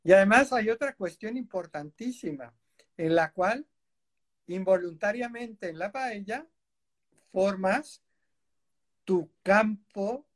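A man hosting a radio chat in a studio, with a voice pitched a little high for a man. He rambles along easily, fills pauses with "eh" and breaks into laughter often. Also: expressive. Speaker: radio host. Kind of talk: casual radio interview. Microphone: studio microphone.

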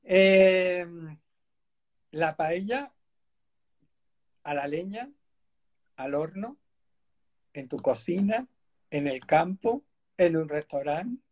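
A man hosting a radio chat in a studio, with a voice pitched a little high for a man. He rambles along easily, fills pauses with "eh" and breaks into laughter often. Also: expressive, darkish tone. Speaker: radio host. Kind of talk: casual radio interview. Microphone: studio microphone.

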